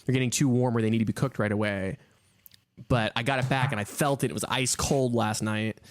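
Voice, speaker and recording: A man's voice: mid-range voice, fairly even intonation, fairly quick, slight background noise. A man co-hosting a podcast, telling rambling casual stories with lots of fillers. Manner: rambling storyteller, heavy use of "like". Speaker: podcast co-host. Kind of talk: casual chat.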